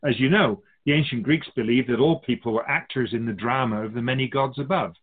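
A man's voice: medium pitch, brisk, phone-quality sound, lightly acted. A man playing an otherworldly keeper of souls in a radio drama, a wise, teasing mentor who muses theatrically in rhetorical questions. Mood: musing, teasing. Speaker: spirit guide in a radio drama.

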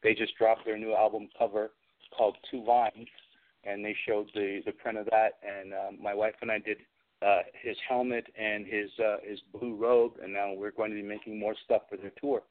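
A man's voice: on the low side, level tone, normal speed. A man giving an informal, matter-of-fact update as a guest in a casual interview. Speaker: guest, costume and prop maker. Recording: dull lo-fi call audio.

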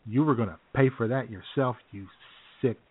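A man's voice: medium pitch, normal speed, phone-quality sound, slight background noise. A man hosting a comedy radio show in casual, irreverent banter with mock indignation, on thin-sounding audio.

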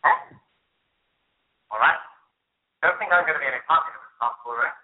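A man's voice: fairly high pitch, brisk, natural voice. A man acting in the old-fashioned style of a 1940s film. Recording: roomy, tinny old film soundtrack.

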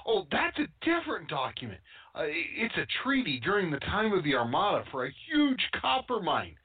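Woman playing a flustered young scholar, raspy, tinny recording. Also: on the low side, huge pitch swings, full character voice. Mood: flustered explaining. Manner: halting, stammering, indignant. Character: Peter, flustered young male academic. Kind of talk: amateur radio play acting. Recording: tinny phone-like sound.

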